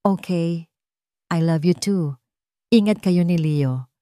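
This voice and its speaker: A narrator woman plays a busy, affectionate wife in an audiobook drama. She is concerned and questioning. Her voice is mellow and closely recorded. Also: lowish voice, takes it slowly, dry recording, studio quality. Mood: resigned affection. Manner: questioning, anxious. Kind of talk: dramatic audiobook character dialogue.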